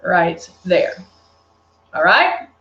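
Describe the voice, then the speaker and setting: A woman's voice: fairly low pitch, big pitch swings, extremely slow, a bit bright. Chatty Texan woman, echoey room. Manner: chatty, answers viewers' comments as she works. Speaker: beauty brand presenter. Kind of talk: live makeup tutorial. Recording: echoey room, dull lo-fi stream audio.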